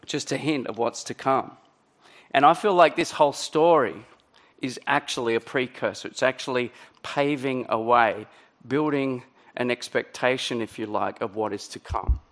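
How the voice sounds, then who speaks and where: Croaky pastor, conversational. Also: male voice, medium pitch, moderate pace, a bit bright. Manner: conversational, many ums and restarts. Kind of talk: sermon.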